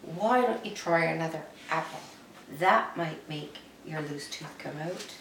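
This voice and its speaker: A matter-of-fact father speaks slowly in a voice pitched a little lower, as a woman reads him aloud. The mic sits far off in an echoey room. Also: fairly bright tone, a little hiss or hum. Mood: calm.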